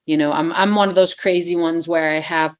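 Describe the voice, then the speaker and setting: A woman's voice: on the low side, steady tone, a little fast. A woman from Denmark with a Danish accent, talking casually as an actress guest in an interview, hesitant and full of fillers.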